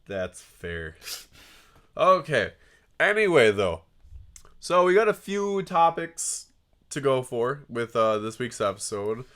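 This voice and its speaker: A man's voice: medium pitch, sing-song, slow, long pauses, a bit bright. A man with a cold and a stuffy, nasal voice, co-hosting a casual podcast chat and often stopping to restart his sentences. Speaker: podcast co-host.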